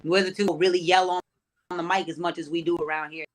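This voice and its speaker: A man's voice: fairly high pitch, little pitch movement, quick pace. A man, a rapper guest on a podcast, talking casually in loose street slang.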